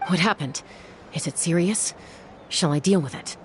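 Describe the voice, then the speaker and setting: A woman playing a calm, dependable warrior in a video game, earnest and assured. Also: on the low side, normal speed, a little hiss or hum, natural voice. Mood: concerned, firm.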